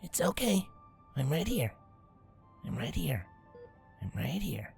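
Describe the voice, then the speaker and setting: A man voicing a casual, caring big-sister pony in a storybook reading, informal and soft-spoken. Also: a little high, very animated, takes it slowly, gravelly, faint music behind, pitched above the performer's own voice, full character voice. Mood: soothing. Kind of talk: dramatised storybook fan fiction reading.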